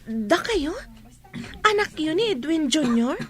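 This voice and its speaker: A village doctor in an Ilocano radio drama. Her voice is very high, and her manner is composed and encouraging toward the people she treats. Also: big pitch swings, slow, full character voice.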